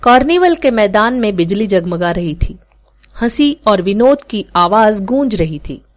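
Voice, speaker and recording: A woman reading a short story aloud as a podcast narrator, in an expressive scripted storytelling style. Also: medium pitch, a little slow, soft background music.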